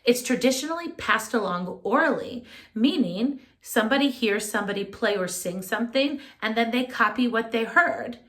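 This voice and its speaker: A woman music teacher leading a children's lesson, speaking in a clear, instructive way straight to young listeners. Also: on the high side, lively intonation, normal speed, fairly bright tone. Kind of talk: children's music lesson and read-aloud narration.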